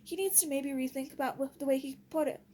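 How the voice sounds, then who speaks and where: A woman with a notably high voice and a soft, mellow tone talks as if venting to her followers. She is exasperated and blunt, and keeps it casual and full of slang. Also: fast. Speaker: vlogger.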